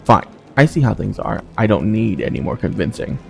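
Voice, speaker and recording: A bitter young male fighter, read out by a man playing a fantasy game, with a low, creaky voice. He is accusing and insistent, his pitch swinging with sarcasm. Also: very animated, brisk, exaggerated voice. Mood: bitter, resigned. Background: game music.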